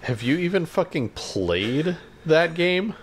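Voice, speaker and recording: A man's voice: on the high side, big pitch swings, slow, slight background noise. A man co-hosting a podcast in casual chat, matter-of-fact and questioning, feeling his way through sentences. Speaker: podcast co-host.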